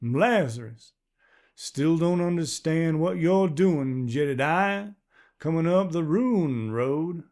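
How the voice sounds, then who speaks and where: A man voicing a wary, weathered older frontier man with an odd near-Southern drawl, gruff and clipped, in a storybook reading. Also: on the high side, lively intonation, slow, darkish tone, voice pushed up. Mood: curious. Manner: gruff, clipped, old-fashioned folksy speech.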